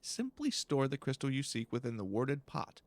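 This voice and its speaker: Man playing a pompous, condescending professor with faint creak. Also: medium pitch, wildly swooping pitch, normal speed, no room sound. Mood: exasperated, condescending. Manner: pompous, verbose, theatrical. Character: pompous, condescending male professor, exasperated and fussy. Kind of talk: video game dialogue read aloud.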